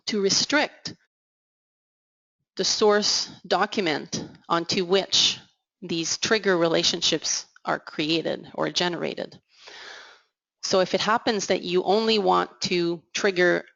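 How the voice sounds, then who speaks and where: A woman giving a measured, explanatory software product demo as voice-over, slowing down on key terms. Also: fairly low pitch, gravelly, no room sound, dull audio. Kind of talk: scripted product demo narration.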